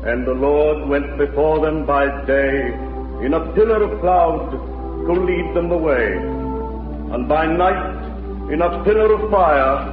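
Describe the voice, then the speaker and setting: An actor playing a solemn, grieving preacher, delivering a grave, deliberate sermon in a 1940s radio drama. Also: male voice, on the high side. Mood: fervent, pleading. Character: solemn, grieving male preacher in a conquered country. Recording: echoing, narrow-band old radio recording, music behind.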